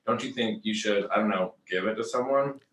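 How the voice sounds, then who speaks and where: An easygoing repair shop worker acted by a man, speaking fairly low in an echoing room. The talk is casual and slangy, full of friendly ribbing, as in a comedy script read. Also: a little fast, a bit bright, recorded from a distance, slight background noise, natural voice. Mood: teasing, skeptical.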